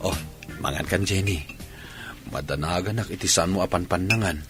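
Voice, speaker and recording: A man with an Ilocano Filipino accent playing a patient, devoted, gentle young husband in a radio drama. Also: low-pitched, moderate pace, over music. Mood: caring, coaxing.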